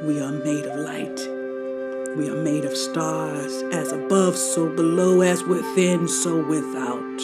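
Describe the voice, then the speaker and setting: An actress playing a solemn, mystical healer priestess, chanting ceremonial invocations in a measured way. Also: female voice, sits low, flat delivery, loud music behind the voice. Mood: solemn, invoking. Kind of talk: incantation in an audio drama.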